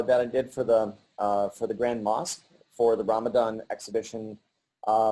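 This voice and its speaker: A middle-aged man giving a halting virtual artist talk over a video call, with many pauses and restarts. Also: mid-range voice, dark tone, low-quality recording. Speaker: artist presenting his work.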